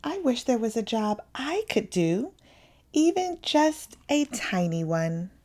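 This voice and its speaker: Tiny storybook reindeer played by a woman, young male, wistful, hesitant, sighing, expressive pitch. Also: slow. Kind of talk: picture-book character voice.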